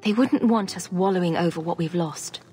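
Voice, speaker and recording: An actress playing a steady, questioning young champion heroine in a naturalistic video game drama. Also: female voice, fairly low pitch, normal speed, soft background music, natural voice. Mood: reflective.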